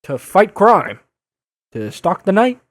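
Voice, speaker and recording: An actor playing a young masked superhero in an audio drama, sarcastic, flustered and easily exasperated. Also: male voice, sits high, big pitch swings, slow pace, no echo, clean studio sound, heavily acted. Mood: reluctant, defiant. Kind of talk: audio drama dub acting.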